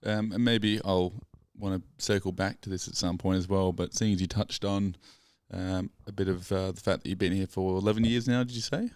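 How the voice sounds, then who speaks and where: A man's voice: low-pitched, fairly even intonation, brisk, hardly any pauses, a little mellow, smooth, dry recording, clean studio sound. A man hosting a podcast, asking questions in an easygoing, slightly hesitant way. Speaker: podcast host. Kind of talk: casual interview chat.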